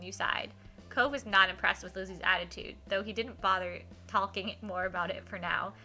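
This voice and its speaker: A woman's voice: mid-range voice, bright, forceful tone. A woman streamer reading a visual novel aloud expressively, with casual asides to her viewers and game music behind her.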